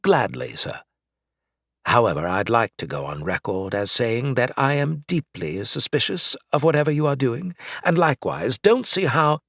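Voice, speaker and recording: A man's voice: mid-range voice, very animated, moderate pace, vocal fry, fairly bright tone, no room sound, clean studio sound, pitched above the performer's own voice, exaggerated voice. A man voicing a prim, formal assistant. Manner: prim, formal, dryly disapproving.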